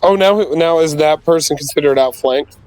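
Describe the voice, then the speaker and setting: A man's voice: a little high, normal speed, background noise. A man playing in a tabletop game, casually asking rule questions and declaring his character's actions. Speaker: player.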